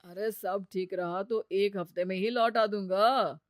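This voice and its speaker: A woman's voice: mid-range voice, steady tone, a little slow, no room sound, studio quality, pitched below the performer's own voice, natural voice. A woman voicing a wheedling, irritable gambler husband in a cartoon story, with a sarcastic, self-justifying manner. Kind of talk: cartoon story character acting.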